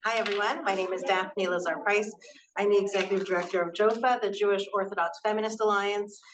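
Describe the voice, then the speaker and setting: A woman's voice: mid-range voice, steady tone, fairly quick, far from the mic, a little hiss or hum, low-quality recording. A woman introducing herself on a conference panel, speaking candidly and with self-deprecating humor. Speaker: panelist, nonprofit executive director.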